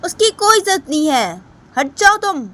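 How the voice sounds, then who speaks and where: A woman's voice: very high voice, big pitch swings, normal speed, fairly bright tone, slight background noise, pitched above the performer's own voice, heavily acted. A woman voicing a stern, bossy aunt in a family story, giving curt, repeated orders. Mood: stern, annoyed.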